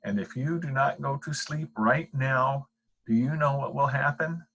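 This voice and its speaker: A man's voice: mid-range voice, measured pace, fairly bright tone, voice pushed up, poor audio. A man reading the part of a firm, patient father in a bedtime storybook, explaining things matter-of-factly.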